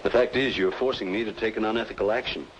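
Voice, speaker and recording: An actor playing a grave, formal doctor, deliberate and insistent, in an old-time radio noir drama. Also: male voice, on the low side, lightly acted. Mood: grave, cautioning. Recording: dull, noisy old radio recording.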